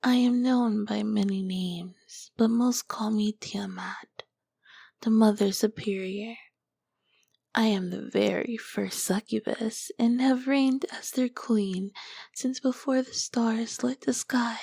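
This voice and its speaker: A woman voicing an ancient, seductive succubus queen who speaks in a slow, breathy, teasing whisper. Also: on the high side, fairly even intonation, warm tone, dry recording, heavily acted. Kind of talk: whispered audio roleplay.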